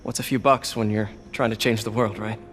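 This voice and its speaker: A man's voice: fairly low pitch, steady tone, brisk, fairly bright tone, a little hiss or hum, lightly acted. An actor playing an earnest, awkward young man who stammers a little, in a naturalistic game cutscene. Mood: reassuring. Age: young adult. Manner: hesitant, stammering. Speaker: young lab assistant hero in a video game. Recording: long hall reverb, music behind.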